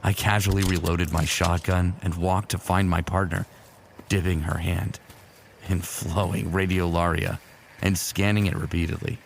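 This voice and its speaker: Gravelly, very deep wry warrior played by a man. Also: flat delivery, normal speed, slight background noise. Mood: wry, smitten. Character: smitten, teasing male Titan warrior narrating his exploits. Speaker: narrator and lead character. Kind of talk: first-person narration in fan fiction audio drama.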